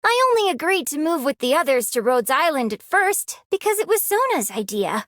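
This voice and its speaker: A hardworking young game heroine, hopeful and sincere. Her voice is very high, with a dry, close studio sound. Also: big pitch swings, brisk, fairly bright tone, no room sound. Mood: determined, hopeful. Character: earnest, hopeful young woman operative, hardworking and caring. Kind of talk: video game character voice line.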